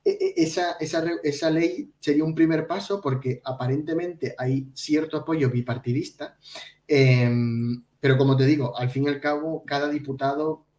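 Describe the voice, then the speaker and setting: A man co-hosting a podcast in conversational financial news discussion, explaining things haltingly with repeated words. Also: on the high side, a little mellow. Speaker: podcast co-host. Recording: roomy echo, distant from mic.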